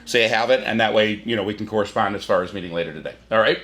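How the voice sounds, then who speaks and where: A middle-aged man from the area, a car buyer negotiating over the phone in a brisk, upbeat salesman manner. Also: fairly low pitch, a bit bright. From Chicago area, USA.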